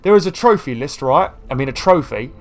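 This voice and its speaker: Croaky-voiced man rambling over gameplay. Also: medium pitch, lively intonation, a little fast. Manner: rambling, chatty, self-deprecating. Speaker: gaming YouTuber. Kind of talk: casual gameplay commentary.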